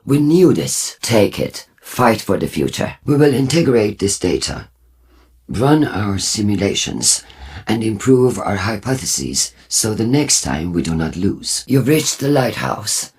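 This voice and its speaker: An actor voicing a solemn, prophetic war cult leader in a video game, speaking formally and deliberately with a husky voice. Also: male voice, fairly low pitch, very animated, darkish tone, full character voice. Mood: grave, measured. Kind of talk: scripted video game character dialogue.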